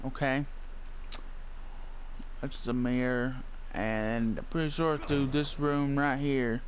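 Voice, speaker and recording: A man giving relaxed, casual commentary as he plays a video game. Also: mid-range voice, takes it slowly, rough recording. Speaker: let's player. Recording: faint game noise behind voice. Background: video game audio.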